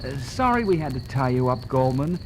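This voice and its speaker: An actor playing a vengeful fired scientist in an audio drama, smug and taunting, speaking with deliberate menace. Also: male voice, medium pitch, big pitch swings, background noise, natural voice. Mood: gloating, bitter. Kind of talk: 1970s children's record audio drama acting.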